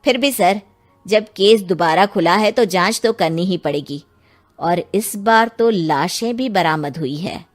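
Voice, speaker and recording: A woman voices a businesslike police inspector in a storybook drama, formal and persistent. The delivery is courteous and questioning, at a middling pitch over music. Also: a little slow, natural voice. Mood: probing.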